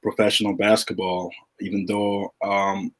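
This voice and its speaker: A middle-aged man from Uganda, a retired basketball player, answering podcast questions in a relaxed, halting way with many fillers. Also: lowish voice. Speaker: guest, retired pro basketball player and agent. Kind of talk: podcast interview.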